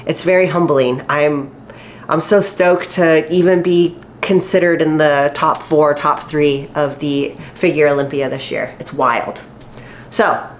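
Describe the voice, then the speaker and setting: A woman's voice: sits low, slight background noise. Chatty nasal woman vlogging from her kitchen. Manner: upbeat, chatty, full of fillers and likes. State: stuffy and nasal after a cold. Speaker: fitness competitor vlogger. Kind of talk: casual chatty vlog while cooking. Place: home kitchen. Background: faint sizzling of frying food.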